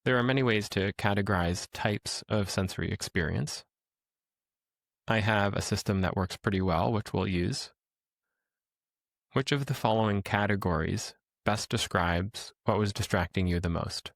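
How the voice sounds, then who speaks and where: A man leading a guided meditation as a teacher, speaking in a calm, gentle, instructional way with pauses. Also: fairly low pitch, vocal fry, dry recording, clean studio sound.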